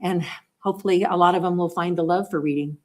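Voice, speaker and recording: A woman's voice: fairly low pitch, fairly even intonation, fast talker, a little mellow. A woman serving as school board president, giving encouraging thanks at a board meeting. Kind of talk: formal remarks at a public meeting.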